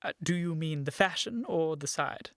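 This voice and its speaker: A man playing a polite, nervous young gentleman with an upper-class English accent in a comic period radio play. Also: fairly high pitch, lively intonation, normal speed, no room sound. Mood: uneasy, polite. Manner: hesitant, deferential, apologetic.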